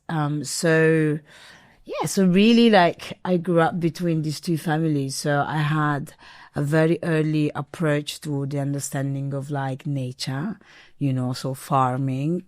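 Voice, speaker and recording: A woman from northern Italy with a very low voice speaks as an interview guest. She is reflective and unhurried, often pausing on an um before she goes on. The sound is dry and close. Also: darkish tone, no room sound. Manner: reflective, unhurried, with frequent ums. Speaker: podcast guest. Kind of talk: interview conversation.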